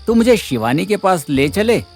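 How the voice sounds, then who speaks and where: A man's voice: high-pitched, very animated, moderate pace, a little mellow, faint music behind, full character voice. A man playing a cocky, sadistic knife-wielding villain in an audio drama, taunting and sneering with mock politeness. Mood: menacing, teasing. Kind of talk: Hindi audio drama acting.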